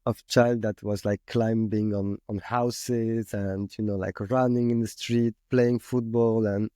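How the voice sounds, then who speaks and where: A young man from Morocco speaks in a relaxed, mellow tone, pausing often and restarting his sentences as he searches for words. His voice sits in an ordinary male range and is recorded close and dry, with no room around it. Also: fairly low pitch, no room sound. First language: Moroccan Arabic. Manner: hesitant, many restarts and fillers. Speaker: podcast guest. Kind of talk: casual interview conversation.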